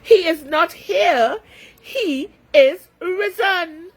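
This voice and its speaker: A woman storyteller voicing a bright heavenly messenger in a wondrous, declamatory manner. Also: very high voice, very slow, voice pushed up, full character voice. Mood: joyful, proclaiming.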